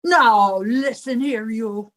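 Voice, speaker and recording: A woman's voice: fairly high pitch, takes it slowly, fairly bright tone. A woman playing a theatrical, bossy older Irish widow and landlady in a radio comedy, given to wailing. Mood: bossy, insistent. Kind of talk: 1950s radio comedy acting.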